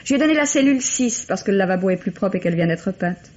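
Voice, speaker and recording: A woman's voice: mid-range voice, lively intonation, fast talker, darkish tone, close to an everyday voice. A woman playing a matter-of-fact police station jailer who reports plainly, in a vintage radio mystery. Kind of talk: 1950s French radio mystery acting. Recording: dull, noisy old radio drama recording.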